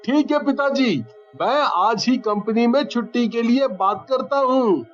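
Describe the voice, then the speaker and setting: A man's voice: extremely high pitch, measured pace, muffled recording, faint music behind, pitched above the performer's own voice. A man voicing an earnest, curious young man visiting his village home in a cartoon story. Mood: cheerful. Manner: earnest, questioning.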